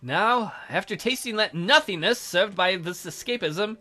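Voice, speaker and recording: A man's voice: sits high, expressive, measured pace, pushed and bright, soft background music. A man reading a visual novel aloud theatrically as a let's play host, with casual, jokey asides.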